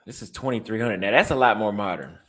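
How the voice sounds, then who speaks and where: Laid-back Texan man, low voice. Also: quick pace, fairly bright tone. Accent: Texas. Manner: loose, rambling, reacting aloud with repeated phrases. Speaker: vlogger and barber. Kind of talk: casual vlog, browsing apartment listings.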